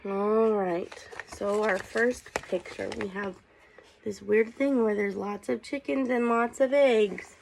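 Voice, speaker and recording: Slow-paced woman reading aloud to children. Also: medium pitch, fairly even intonation, gravelly, slight background noise, rough recording. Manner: unhurried, inquisitive, prompting listeners with questions. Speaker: teacher reading aloud to students. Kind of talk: picture-book read-aloud with casual questions to children.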